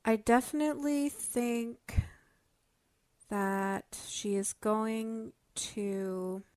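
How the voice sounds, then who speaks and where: Female podcast guest, unhurried and hesitant, mid pitch, dry close sound, casual discussion. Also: steady tone, a little mellow, no room sound.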